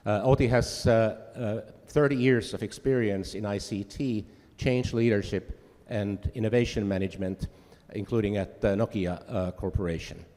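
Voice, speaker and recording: A man from Finland delivers welcome remarks at a conference, halting often with fillers and restarts. His voice sits a little low. The sound carries the long reverb of a big hall. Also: fairly even intonation, a little mellow. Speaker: diplomat giving welcome remarks.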